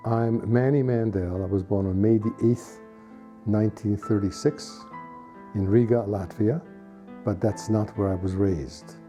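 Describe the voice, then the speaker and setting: An older man, raised in Hungary, calmly recounts where he was born and grew up. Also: on the low side, unhurried. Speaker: Holocaust survivor, interviewee. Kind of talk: testimony interview. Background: clear guitar music.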